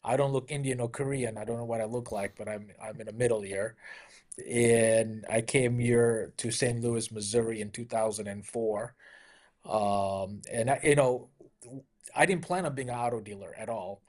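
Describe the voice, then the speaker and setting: A man's voice: medium pitch, fairly even intonation, moderate pace. A middle-aged man from Malaysia, a car dealership owner, telling stories in an upbeat, conversational interview style with frequent "you know" fillers.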